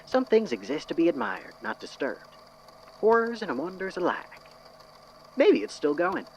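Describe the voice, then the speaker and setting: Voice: mid-range voice, big pitch swings, dull audio, a little hiss or hum. Actor as a swooping, musing philosopher. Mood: wistful, musing. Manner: measured, formal, musing phrasing. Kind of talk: fan audio drama acting.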